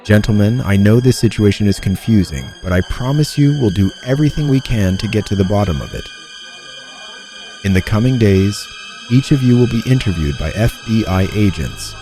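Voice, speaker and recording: An actor playing a composed, dry FBI investigator in an animated noir satire, speaking with calm authority. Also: male voice, on the low side, moderate pace, music in the background, natural voice. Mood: calm, official.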